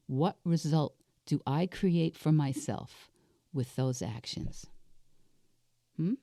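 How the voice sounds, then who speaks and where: Low, mellow raspy woman in her sixties. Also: dry recording, clean studio sound. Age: 60s. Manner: deliberate, reflective, asks and answers own questions. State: unwell with a cold-like illness. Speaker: life coach hosting a solo podcast. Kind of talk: self-coaching monologue, thinking aloud.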